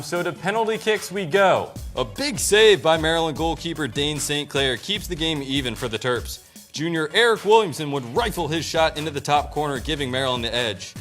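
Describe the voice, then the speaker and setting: A young man working as a sports studio anchor, reading scripted highlights narration. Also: fairly bright tone. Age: young adult.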